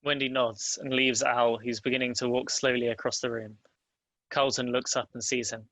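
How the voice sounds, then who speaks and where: Bright, even-toned male narrator. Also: medium pitch, normal speed, no room sound. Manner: plain, even reading. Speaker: narrator reading stage directions. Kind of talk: scripted narration at a table read.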